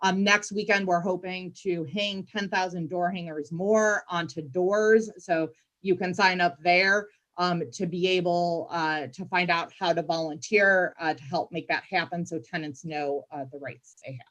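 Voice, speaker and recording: Female panelist, community organizer. Lowish pitch, earnest, halting delivery, explanatory. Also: steady tone, fairly bright tone.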